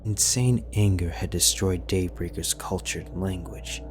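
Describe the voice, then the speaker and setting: A man narrating a fanfic audiobook in a measured, grave storytelling manner. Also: low voice. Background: background music.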